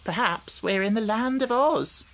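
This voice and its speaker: A man's voice: sits high, unhurried, fairly bright tone, pitched above the performer's own voice. A man voicing a fussy, anxious, questioning talking hen for a storybook. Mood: curious. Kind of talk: audiobook character voice.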